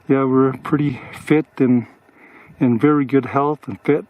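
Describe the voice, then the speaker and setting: A man in his sixties chatting casually while hiking a mountain trail and filming, relaxed and given to chuckling and repeating himself. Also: mid-range voice, a little slow, phone-quality sound. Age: 60s. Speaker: hiker filming a vlog. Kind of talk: casual outdoor chat. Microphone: handheld camera.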